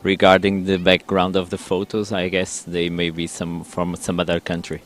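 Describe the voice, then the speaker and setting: A man answering a street interview outdoors, hesitant and measured. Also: low-pitched, monotone. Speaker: passerby interviewed.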